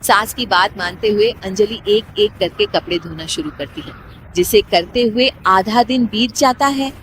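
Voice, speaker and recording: A woman's voice: medium pitch, unhurried, noisy background. A woman reading scripted narration for a cartoon moral story as its storyteller.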